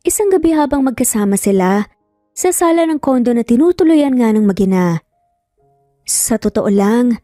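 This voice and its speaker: A woman's voice: on the high side, no room sound, clean studio sound. A woman with a Filipino accent reading a scripted, dramatic story as its narrator. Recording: soft music under the voice.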